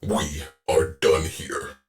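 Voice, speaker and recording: An actor playing a terse, stern male shipboard AI in a video game, giving clipped, deadpan, commanding alerts. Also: drawn-out words. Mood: grim, disapproving. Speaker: ship AI giving mission alerts. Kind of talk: scripted game voice lines, clipped announcements.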